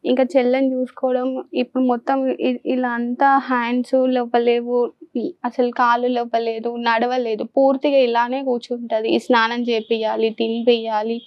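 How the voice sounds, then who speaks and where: A woman with a Telangana Telugu accent tells of hardship as a caregiver. Her voice sits high, and the sound is dry and a little dull. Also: little pitch movement, moderate pace, no echo. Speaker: guest, family caregiver. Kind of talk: personal account of hardship.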